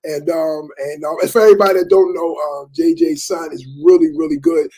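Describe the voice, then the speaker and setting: Husky, high-voiced man chatting casually. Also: fairly even intonation, brisk, mellow. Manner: laid-back, repeats phrases, many fillers. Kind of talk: casual chat.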